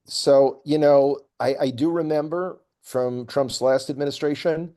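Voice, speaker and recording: A man with a warm, relaxed tone and a medium pitch talks politics as a guest commentator. He is opinionated and emphatic, laying out his points deliberately in a conversational way. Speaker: regular guest commentator on a news update programme.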